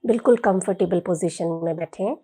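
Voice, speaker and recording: A woman leading a guided meditation as a yoga teacher, speaking in a soothing, gentle, unhurried way with long pauses. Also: on the low side, husky.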